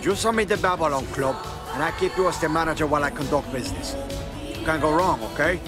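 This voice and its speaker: An actor playing a brash, hot-tempered Cuban-American crime boss with a Cuban accent, blunt, profane and domineering. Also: male voice, on the high side, quick pace, music in the background, close to an everyday voice. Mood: swaggering, pushy. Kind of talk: video game character acting.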